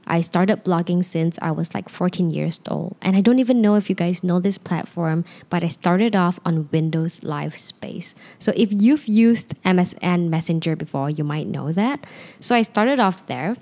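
A young woman with a Malaysian English accent that leans a little North American, speaking in a slightly low, relaxed voice. She talks openly and confidingly, and she rambles a little at times. She is recorded close on a handheld microphone while sitting on a sofa at home. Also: normal speed, vocal fry. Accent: Malaysian English with North American influence. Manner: open, confiding, sometimes rambling. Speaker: podcast host. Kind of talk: casual solo podcast monologue. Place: living room, seated on a sofa.

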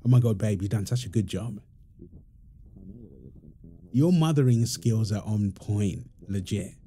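A man's voice: fairly low pitch, unhurried, mellow, slight background noise, close to an everyday voice. A man playing a playful, doting young father in an ASMR roleplay, teasing and affectionate with his family. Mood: amused, delighted. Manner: teasing, affectionate, laughing easily. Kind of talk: ASMR roleplay, improvised casual talk.

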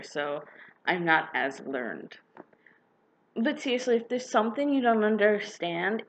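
A young woman, slightly hoarse from a cold, giving her frank, opinionated take in a casual vlog from her living room, recorded on a webcam. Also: fairly low pitch, slight background noise, low-quality recording. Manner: chatty, opinionated, frank with mild swearing. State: slightly hoarse, getting sick. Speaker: book vlogger. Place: living room with a couch, indoors.

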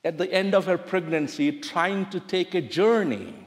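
A middle-aged man with an Indian accent, a minister addressing his congregation in a conversational, halting, earnest way. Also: sits high, animated. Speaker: church minister. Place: large church sanctuary at a pulpit. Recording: reverberant church hall.

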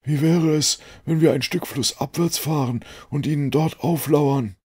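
An officious police detective put on by a man reader talks in a raised, husky voice. He is eager and opinionated, a storybook character keen to say how he would run the case. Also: on the high side, unhurried, a little mellow, pitched above the performer's own voice.

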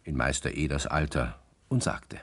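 A man's voice: a bass voice, normal speed, fairly bright tone, heavily acted. A man narrating a 1960s German radio play in storybook style.

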